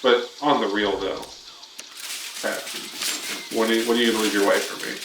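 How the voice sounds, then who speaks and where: A young man bantering crudely and teasingly while he plays a game, quick to laugh at his own jokes. His voice sits a little back from the microphone in a roomy space. A steady hiss of noise runs under the talk. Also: on the low side. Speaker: streamer. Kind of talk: casual joking chat while gaming. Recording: roomy, distant, noisy home stream.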